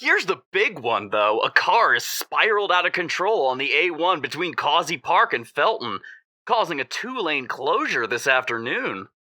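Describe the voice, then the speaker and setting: Bright, sardonic radio co-host. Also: medium pitch, sing-song, normal speed, no echo. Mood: ominously matter-of-fact. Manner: sardonic, playful, taunting. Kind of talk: radio drama acting in presenter banter.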